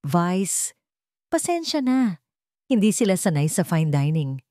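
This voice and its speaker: A woman voicing a shy, tender young mother who speaks softly and hesitantly in an audio love story. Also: lowish voice, very animated, takes it slowly, no room sound, studio quality. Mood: apologetic. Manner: hesitant, soft-spoken.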